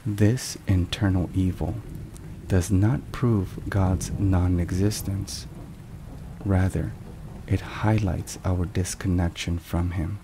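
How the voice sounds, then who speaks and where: Slow, low-voiced man in hushed ASMR narration. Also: creaky. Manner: measured, deliberate, hushed. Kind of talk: whispered ASMR, scripted devotional narration. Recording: steady rain and thunder noise. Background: rain and thunderstorm sounds.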